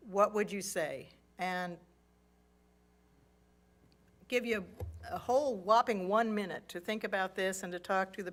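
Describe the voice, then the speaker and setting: A woman deacon giving a conversational sermon in a church and inviting the congregation to share. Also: mid-range voice. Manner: warm, conversational preaching to a congregation. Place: church sanctuary.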